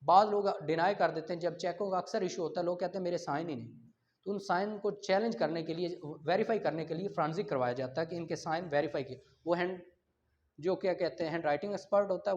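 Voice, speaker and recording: A man's voice: on the high side, darkish tone. A man with a Pakistani accent giving a didactic exam-prep law lecture, explaining with everyday examples and rhetorical questions. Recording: dull, lo-fi lecture audio.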